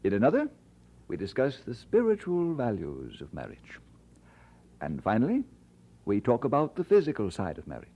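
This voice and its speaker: An actor playing an earnest, playful and devoted young Scottish preacher in an audio drama, speaking expressively. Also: male voice, medium pitch, huge pitch swings, moderate pace, long pauses, husky, muffled recording. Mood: earnest, firm. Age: young adult.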